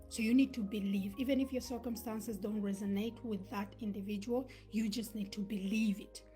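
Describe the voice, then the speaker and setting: A woman in her thirties who grew up between Uganda and Scandinavia delivers a motivational live talk. Her voice sits at a middle pitch, earnest and encouraging, and she slips into a whisper to stress a point. Faint music plays underneath. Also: mellow, breathy edge. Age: 30s. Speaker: motivational speaker.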